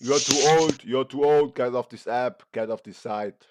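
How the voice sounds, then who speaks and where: A man's voice: mid-range voice, moderate pace, no room sound. A man chatting with strangers on a video chat app, joking around and calling everyone "bro". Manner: teasing, says "bro" constantly.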